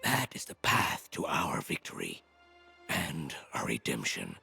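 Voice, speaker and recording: Game mentor: pious old-world sage, low gravelly voice, solemn and formal, faint music underneath. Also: male voice, wildly swooping pitch, measured pace, pushed and bright, full character voice. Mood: grave, cautioning. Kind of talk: scripted fantasy video game dialogue.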